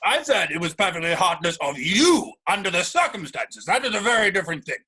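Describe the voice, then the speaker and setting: A man acting an earnest, exasperated young gentleman in a Victorian stage comedy, speaking formally and with indignant emphasis. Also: high-pitched, very animated, a little fast, slightly rough, full character voice.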